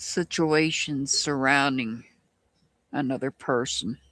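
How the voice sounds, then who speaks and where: Tarot reader, Southern US accent, very slow delivery, frequent restarts, dry close sound. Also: mid-range voice, fairly even intonation, fairly bright tone, dry recording. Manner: slow, musing, restarts often. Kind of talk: live-stream tarot reading, answering chat.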